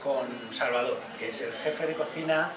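A man's voice: medium pitch, moderate pace. A man in his forties hosts an interview, talking with eager enthusiasm and frequent hesitations. A camera picked him up from a distance in a restaurant dining room. The room echoes and music plays behind him. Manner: warm, enthusiastic, with many fillers. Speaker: host. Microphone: far camera mic.

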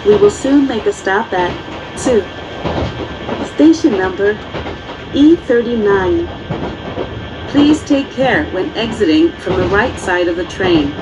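Very slow, distant female train announcement. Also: medium pitch, lively intonation. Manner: formal, measured, carefully phrased. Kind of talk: scripted automated train announcement. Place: train PA heard inside a moving carriage. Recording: distant onboard speakers, loud train noise.

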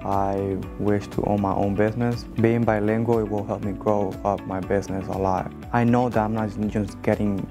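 A man's voice: lowish voice, flat delivery, normal speed, few pauses, over music. A young man, a student, speaking casually in a classroom interview. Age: young adult. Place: classroom with flags behind.

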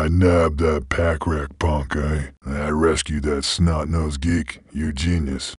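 A man voicing a gruff, weary, menacing creature bounty hunter from a video game, with a husky, gravelly Western American drawl and terse, deadpan delivery. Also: a bass voice, no echo, clean studio sound, full character voice. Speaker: game protagonist. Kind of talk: video game character lines.

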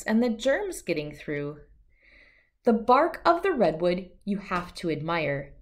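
A middle-aged woman reads a rhyming picture book for children. Her voice sits at an average pitch and she takes the lines unhurriedly. The delivery has a storybook sing-song that follows each rhyme.

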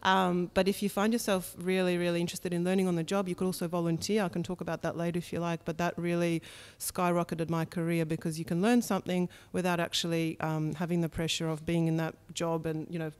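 A woman's voice: lowish voice, little pitch movement, fast talker, hardly any pauses, darkish tone, clean tone. A woman in her thirties, originally from Russia and, talking candidly as a podcast guest on a studio mic, with frequent ums. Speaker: startup co-founder, podcast guest. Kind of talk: relaxed interview conversation. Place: bright studio room with a window. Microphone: studio mic on a boom arm.

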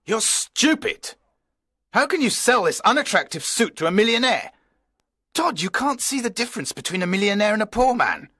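A man reading a story voices an excitable, fawning male shop owner. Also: high voice, moderate pace, husky, dry recording, studio quality, pitched above the performer's own voice, exaggerated voice. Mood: scolding.

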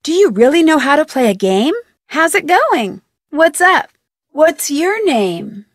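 A woman's voice: high-pitched, big pitch swings, a little slow, dry recording, studio quality. A woman playing an inquisitive, persistent young amateur detective in a video game, speaking in a polite, earnest way. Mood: friendly. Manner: polite, earnest, crisply questioning. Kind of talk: video game dialogue voice acting.

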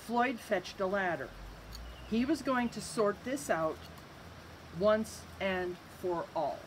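A middle-aged woman reading a picture book aloud as a storyteller, with an expressive, amused delivery. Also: medium pitch, slow, poor audio. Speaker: library storyteller. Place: outdoors on a covered wooden bench structure. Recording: outdoor recording with faint ambient noise.

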